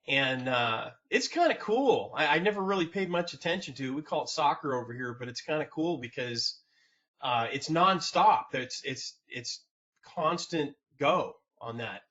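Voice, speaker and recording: Male host, friendly chatty tone, fairly high voice, lo-fi call sound. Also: fairly bright tone. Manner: friendly, chatty, laughs easily. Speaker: podcast host. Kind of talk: casual interview chat. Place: home room, video call. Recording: dull lo-fi video call audio.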